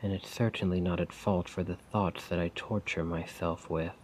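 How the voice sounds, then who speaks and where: A man playing a weary, self-doubting failed poet in a soft-spoken, rambling ASMR roleplay monologue. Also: low voice, fairly even intonation, slight background noise, close to an everyday voice. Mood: regretful. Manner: slow, brooding, rambling. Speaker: roleplay audio performer.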